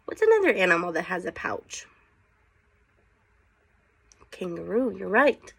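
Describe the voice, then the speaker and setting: A woman's voice: fairly low pitch, big pitch swings, unhurried, vocal fry, husky. A woman teacher reading aloud to children, with chatty asides and questions for her young listeners.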